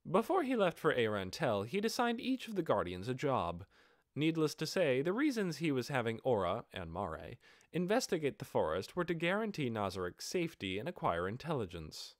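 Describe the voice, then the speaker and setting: Animated male audiobook narrator, very dry sound. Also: medium pitch, moderate pace, dry recording. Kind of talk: scripted fantasy narration.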